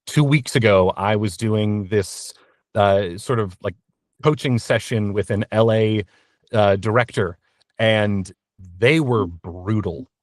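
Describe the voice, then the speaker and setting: A man's voice: lowish voice, slow pace, dry recording, studio quality. A man answering interview questions as a guest, casual and candid, often thinking aloud in informal language.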